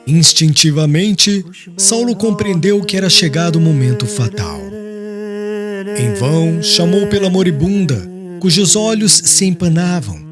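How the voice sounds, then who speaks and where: A man's voice: a little high, lively intonation, a little slow. A man narrating an audiobook as scripted drama, in a solemn, literary and mournful manner. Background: music.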